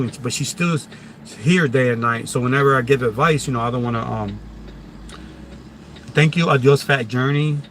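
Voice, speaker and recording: A man's voice: mid-range voice, a bit bright, a little hiss or hum. A man with a Philadelphia accent on a live stream, talking casually and emphatically, repeating himself and restarting sentences. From Philadelphia, USA. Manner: emphatic and repetitive, many restarts.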